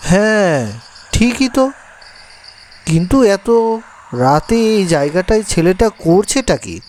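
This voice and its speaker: A man's voice: high voice, lively intonation, unhurried, a little mellow, slight background noise. A man voicing a deferential, easily spooked junior policeman on night patrol in a horror cartoon. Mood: wary.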